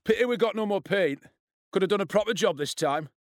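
Audiobook bully boy performed by a man: foul-mouthed, cocky, taunting, high and very animated, dry studio sound. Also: a little fast, no room sound. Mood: gleeful menace. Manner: taunting, sneering delivery. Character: cruel, swaggering schoolboy bully, jeering and foul-mouthed.

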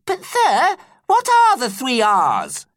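A man voicing a nervous, earnest little schoolgirl in a high, anxious voice for a storybook reading. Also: sing-song, measured pace, a bit bright, dry recording, clean studio sound, heavily acted. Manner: anxious, questioning.

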